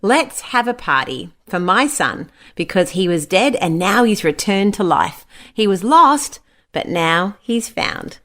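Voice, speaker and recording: A woman's voice: medium pitch, sing-song, a little slow, fairly bright tone. A woman narrating a scripted children's Bible story and acting out the quoted speech. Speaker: storyteller, a mother.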